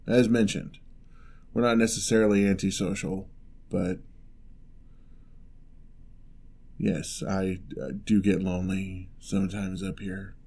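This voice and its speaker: A man playing a lonely, gentle male giant in a fantasy ASMR roleplay, speaking in a measured, wry, slightly formal way. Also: deep voice, expressive, vocal fry, breathy edge, exaggerated voice. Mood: softly companionable.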